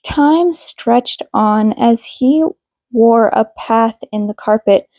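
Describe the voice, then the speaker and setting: A woman's voice: fairly high pitch, slow, dark tone, no room sound, studio quality. A woman reading scripted fiction aloud as the narrator of an amateur audiobook. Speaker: podfic reader.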